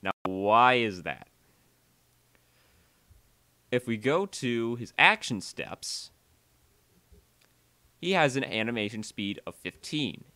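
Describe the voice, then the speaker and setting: A man narrating a software tutorial voiceover, halting and often repeating or correcting himself as he explains. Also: mid-range voice, animated, dry recording.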